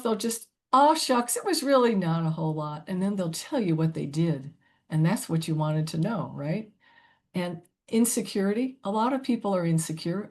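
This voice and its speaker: An older woman with a youthful-sounding voice presenting a webinar in a chatty, conversational way, often tossing in "you know" and "right?". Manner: chatty, lively pitch swings, frequent "you know" and "right?".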